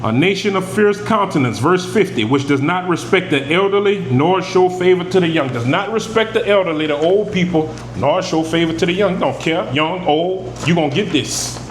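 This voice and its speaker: A man's voice: high-pitched, expressive, normal speed, few pauses. Preaching man in an echoey room. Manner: reads verses then explains them in plain talk. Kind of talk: scripture teaching. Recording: echoey room with air conditioning hum.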